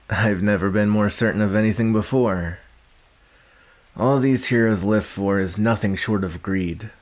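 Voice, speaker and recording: Man acting a low, slow-talking gruff young villain. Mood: bitter, scornful. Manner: halting, sighing, grudgingly tender. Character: gruff young male villain, guarded but secretly caring. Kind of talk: ASMR roleplay addressed to the listener.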